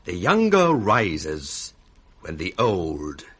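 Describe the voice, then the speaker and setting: An actor playing a coldly ambitious young villain with a sly, husky British voice in a radio tragedy. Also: male voice, sits low, huge pitch swings, a bit bright, exaggerated voice. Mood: sly, gloating. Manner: sly, measured, deliberate. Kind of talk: 1960s British radio Shakespeare acting.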